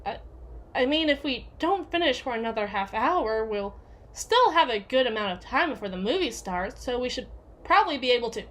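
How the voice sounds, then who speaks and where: A woman's voice: on the high side, expressive, normal speed, fairly bright tone. A woman playing a domineering, possessive, jealous young yandere in a scripted ASMR roleplay, with a sardonic, needling delivery. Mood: wry, grumbling. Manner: sardonic, foul-mouthed, needling, with hesitant trailing repeats.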